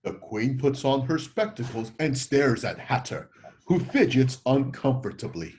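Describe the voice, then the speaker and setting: A man's voice: mid-range voice, sing-song, unhurried, darkish tone. A man narrating the scenes of a classic story as scripted stage directions.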